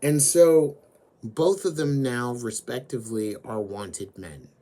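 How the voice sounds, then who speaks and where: Hoarse guy walking through an anime plot real slow, lots of "basically". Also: mid-range voice, mellow. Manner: slow, deliberate storytelling with frequent fillers. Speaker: host. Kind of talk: anime retelling and commentary.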